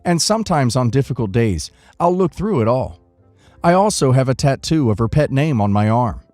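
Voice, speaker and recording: A man narrating first-person online stories from a script in an expressive reading manner. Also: mid-range voice, moderate pace, no room sound, faint music behind.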